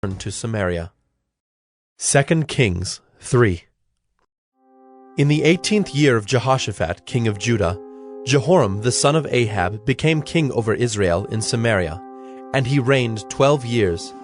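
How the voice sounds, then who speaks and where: A man with a medium-pitched voice narrates scripture. His delivery is solemn and scripted, telling the story over background music. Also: expressive, unhurried.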